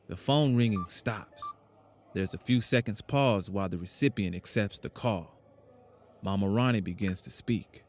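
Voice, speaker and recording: Low-voiced male drama narrator. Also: moderate pace, a little hiss or hum. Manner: plain, steady scene description. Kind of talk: scripted narration.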